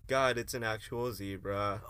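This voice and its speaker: Young man as grandiose philosophy-major hero for comedy radio play; lowish voice, mock-heroic, slangy. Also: steady tone, a little slow, pushed and bright, clean tone. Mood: insistent. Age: young adult. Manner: pompous mock-heroic declamation mixed with slang.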